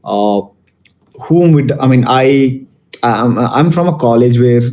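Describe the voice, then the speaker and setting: Young male speaker from Malaysia, mellow tone, mid pitch, casual student-event talk full of "uh"s and restarts. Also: animated, slow. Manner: casual, many fillers and restarts. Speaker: guest speaker.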